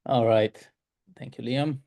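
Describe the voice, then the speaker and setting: A man's voice: mid-range voice, steady tone, slow pace, creaky, dark tone. A man hosting a webinar question session, reading out questions and explaining answers in a conversational way. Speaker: webinar host fielding questions. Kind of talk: live Q&A at a product webinar.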